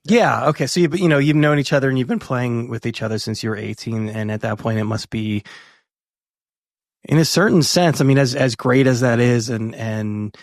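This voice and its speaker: Male podcast host, mid-pitched voice, rambling questions, dry close sound. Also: normal speed, no room sound. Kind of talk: casual interview questions.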